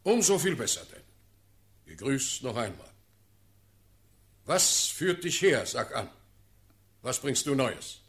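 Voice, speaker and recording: Commanding monarch, slow stately pace, widely swinging pitch, formal radio-play verse. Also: male voice, very animated, a bit bright, full character voice. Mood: stern courtesy. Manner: measured, formal, imperious. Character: commanding, shrewd ruling Elector of Brandenburg. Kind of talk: German classical verse radio drama.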